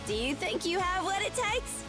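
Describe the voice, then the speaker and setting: A brash Wild West poker dealer. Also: female voice, very high-pitched, big pitch swings, moderate pace. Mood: hyped, teasing. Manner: hyped, teasing trailer delivery. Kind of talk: game trailer voice-over. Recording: voice over loud backing music.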